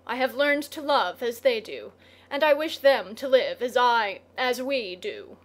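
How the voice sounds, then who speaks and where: A woman's voice: on the high side, lively intonation, unhurried. Woman voicing a proud, emphatic lion god. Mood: determined. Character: proud, hot-tempered male lion god.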